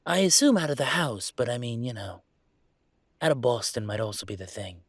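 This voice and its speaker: A man playing an upset young werewolf venting about his father in an intimate audio roleplay, speaking in a halting, emotional, rambling way. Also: medium pitch, slightly rough, no echo, clean studio sound, natural voice. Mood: wry, rambling.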